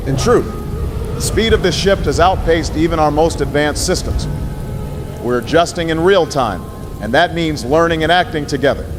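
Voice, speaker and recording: A man playing a grave, resolute president giving a measured, formal emergency address in an audio drama, with solemn reassurance. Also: on the high side, over music, natural voice. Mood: measured, firm. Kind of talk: formal press conference address.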